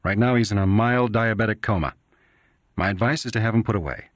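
A blunt, cynical doctor, played by a man reading aloud talks in a flippant, matter-of-fact way. His voice sits a little higher than the narrator's own, and the sound is dry and close. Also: a little fast, no echo, lightly acted.

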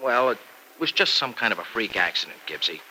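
An actor playing a grateful young farm husband in a radio soap, with an American accent and an exclamatory, folksy manner. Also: male voice, on the low side, very animated, fairly quick, pushed and bright, full character voice. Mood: explaining. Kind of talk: 1950s radio soap opera acting. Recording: noisy old radio recording.

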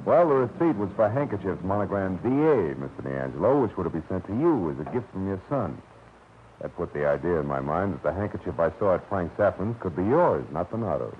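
An actor playing a hard-boiled private detective, narrating in terse, clipped first person in a 1950s radio drama. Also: male voice, low voice, sing-song, brisk, a little hiss or hum. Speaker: narrator and lead. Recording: tinny, phone-like old radio recording with music.

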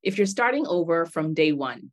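A woman from the Bahamas hosting an interview, enthusiastic and quick to exclaim as she asks her guest questions. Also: on the low side, big pitch swings. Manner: enthusiastic, exclaims and laughs freely. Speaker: podcast host. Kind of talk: conversational interview.

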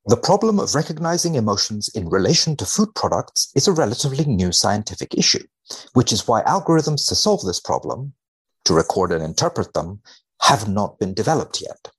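A man speaking as a researcher guest in conversation, wry and measured, giving tongue-in-cheek commentary with mock seriousness. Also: lowish voice, big pitch swings, breathy edge. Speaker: podcast guest, biomedical researcher.

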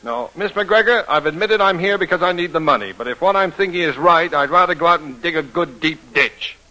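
A man's voice: fairly high pitch, lively intonation, fairly quick, sharp tone. An actor playing a hesitant, drily joking male job seeker in a 1940s radio comedy, with a sheepish manner. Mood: sheepish, wary. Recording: noisy old radio broadcast recording.

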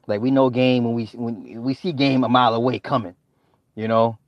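A man's voice: medium pitch, fairly even intonation, moderate pace, no echo. Brief: a ranting man, slangy and repetitive, dry lo-fi recording. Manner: repetitive, slangy, stumbling restarts. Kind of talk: profane comedic rant.

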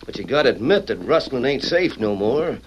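A man's voice: medium pitch, big pitch swings, a little fast, dull audio, natural voice. An actor playing an uneasy, grumbling Old West outlaw henchman with a folksy American cowboy drawl in a radio western. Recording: noisy vintage radio recording.

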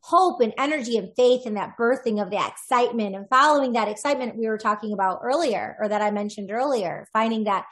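A woman's voice: on the high side, fast talker, hardly any pauses, a bit bright. A woman voiceover artist, a podcast guest, talking in a reflective, rambling way with many restarts. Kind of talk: casual conversational interview.

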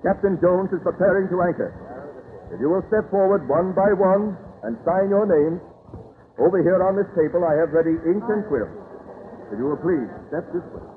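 An actor playing an earnest, firm Pilgrim leader in an old-time radio drama, speaking in formal, archaic phrases. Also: male voice, high-pitched, normal speed, lightly acted. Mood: earnest, urging. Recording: noisy, tinny vintage radio broadcast.

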